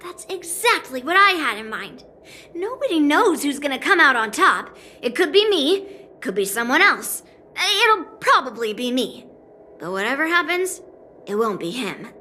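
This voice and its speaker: A woman's voice: high voice, very animated, normal speed, sharp tone, a little hiss or hum, full character voice. A woman voicing a cocky young swordswoman in a video game, blunt and self-assured. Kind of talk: dubbed video game cutscene dialogue.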